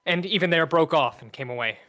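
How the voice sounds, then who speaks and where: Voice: mid-range voice, brisk, bright, forceful tone, lightly acted. A student actor playing a loyal, wily duke who serves as henchman, oratorical, in a Shakespearean radio play. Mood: dutiful, wry. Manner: oratorical, reporting.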